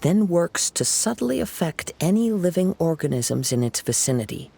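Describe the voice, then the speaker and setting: A man voicing a calm, sharp young detective in a game, laying out his reasoning in a composed, analytical way. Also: a little high, moderate pace, gravelly, slightly rough, exaggerated voice.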